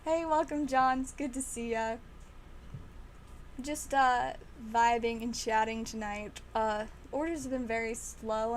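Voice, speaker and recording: A woman hosting a card-opening livestream, chatting casually and excitedly, her voice slightly raspy and strained. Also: fairly high pitch, a little hiss or hum. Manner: chatty and excitable, with frequent laughs. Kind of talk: casual livestream chat while opening packs.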